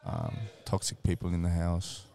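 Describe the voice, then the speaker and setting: A man who grew up in Sweden and Chile, with Swedish as his first language, talking casually as a guest in an interview and often saying "man" and "boom". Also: big pitch swings, moderate pace, vocal fry, dark tone. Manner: laid-back, slangy, says "man" and "boom" often. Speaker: guest, striking coach.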